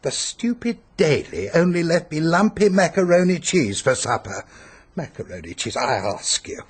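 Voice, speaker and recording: An actor in a radio drama playing a pompous, peevish, self-pitying upper-class English hypochondriac who blusters and complains. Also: male voice, a little high, big pitch swings, normal speed, husky. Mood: indignant, self-pitying.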